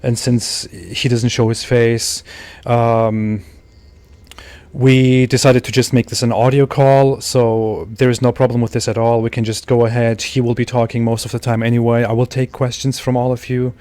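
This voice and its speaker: Flat-voiced male livestream host. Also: mid-range voice, moderate pace, no echo. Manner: restarts, repeats words, stumbles. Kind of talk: livestream chat hosting.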